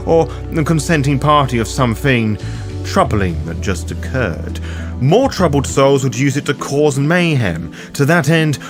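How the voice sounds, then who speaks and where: A man hosts a scripted guide in a theatrical, formal manner, slipping in playful asides. His mid-pitched voice swings widely in intonation. Music plays clearly behind him. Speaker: host of a roleplaying guide series. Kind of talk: scripted narration, tabletop roleplaying guide. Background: background music.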